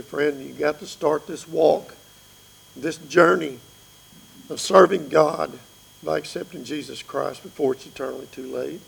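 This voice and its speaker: A man in his fifties with a raspy voice, preaching an earnest sermon that pleads with his listeners. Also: a little high, a little hiss or hum, rough recording.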